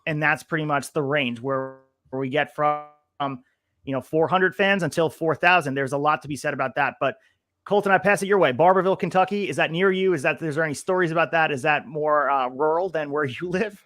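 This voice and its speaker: A man's voice: on the high side, a little fast, a bit bright, dry recording. A man hosting a podcast in casual chat, upbeat, reading from old notes and asking his co-host questions. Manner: upbeat, asks questions, reads notes aloud.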